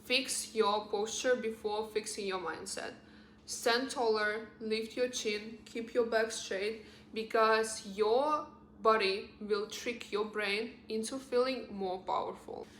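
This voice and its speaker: A woman's voice: fairly high pitch, steady tone, takes it slowly, a bit bright, slight background noise. A young adult woman from Russia, a native Russian speaker with a Russian accent, giving casual, earnest, encouraging advice as a lifestyle vlogger. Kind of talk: casual advice vlog to camera. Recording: roomy, echoing sound.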